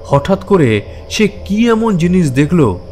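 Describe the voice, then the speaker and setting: A man's voice: high-pitched, sing-song, slow pace, a little mellow, faint music behind. A man narrating a horror story from a script in a theatrical, suspenseful manner.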